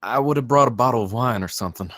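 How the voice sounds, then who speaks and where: A man's voice: medium pitch, fast, fairly bright tone, dry recording, studio quality, natural voice. A man playing a shy, awkward, reluctant guest in a screenplay table read, hesitant and polite.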